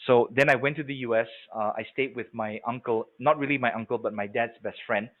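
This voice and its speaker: A man's voice: medium pitch, fairly even intonation, brisk. A man in his forties from Singapore leads a sales training webinar in a mid-range voice. He talks in a loose, conversational way and keeps checking that his listeners follow. The sound is narrow and tinny, like a phone call. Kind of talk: live webinar training talk. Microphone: video call.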